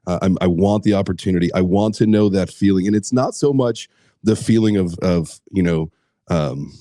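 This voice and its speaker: A man hosting a casual chat, hoarse from screaming, rambling with lots of fillers, restarts and swearing. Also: low-pitched, moderate pace, mellow, no echo, studio quality. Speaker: host.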